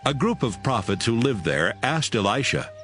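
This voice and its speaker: A man's voice: medium pitch, sing-song, normal speed, fairly bright tone, soft background music. A man reading scripted narration for a dramatized audio Bible as its storyteller.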